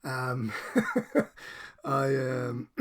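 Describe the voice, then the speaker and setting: A man's voice: medium pitch, lively intonation, drawn-out words, creaky, slightly rough. A middle-aged Danish man with a Danish accent touched by Irish and American traces, talking casually and apologetically in a heartfelt video message, rambling and laughing often. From Denmark. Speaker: comic book collector. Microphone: desk microphone.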